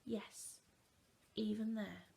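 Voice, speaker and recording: A woman reading the part of a calm, loving mother who gently and patiently reassures her young children in a storybook. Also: mid-range voice, extremely slow, gravelly, full character voice. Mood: soothing.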